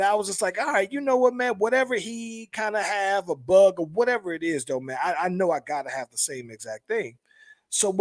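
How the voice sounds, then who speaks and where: A man hosting a podcast, groggy today, telling a long rambling story in a relaxed way and calling his listeners "man" and "bro". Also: fairly high pitch, no echo, studio quality. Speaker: podcast host. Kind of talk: casual podcast chat.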